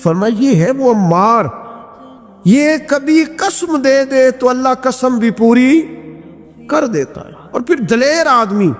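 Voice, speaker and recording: Pakistani punjabi guy preaching, high voice, slow emphatic sermon delivery, music behind. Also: darkish tone. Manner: impassioned, rhetorical, slow emphatic delivery with swelling exclamations. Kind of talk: sermon with storytelling and recited Punjabi verse. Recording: echoey hall reverb with music behind. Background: background music.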